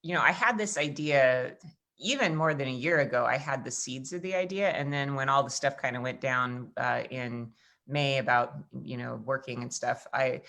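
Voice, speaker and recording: A low-pitched American woman in her forties hosts a casual chat over a video call. She rambles happily through her stories, padding them with fillers and restarts. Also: normal speed, a bit bright. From United States. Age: 40s. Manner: chatty, rambling storyteller with frequent fillers. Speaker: host.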